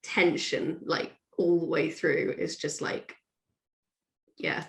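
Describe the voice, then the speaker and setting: A woman's voice: deep voice, level tone, takes it slowly, creaky, fairly bright tone. A woman chatting casually as a guest author, informal and a little self-deprecating. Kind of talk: casual chat. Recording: echoey room.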